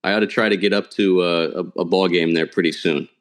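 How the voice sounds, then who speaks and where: A man's voice: sits low, fairly even intonation, a little fast. A man who works as a sports announcer, talking casually and analytically as an interview guest. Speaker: radio play-by-play sports announcer, podcast guest.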